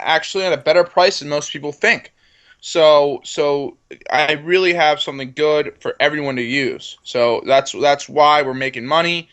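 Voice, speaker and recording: Young male entrepreneur in his twenties, confident and self-assured, somewhat high voice, stumbles over his sentence openings. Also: fairly bright tone. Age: 20s. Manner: confident, repeats words when starting sentences. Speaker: entrepreneur guest. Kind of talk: casual interview talk.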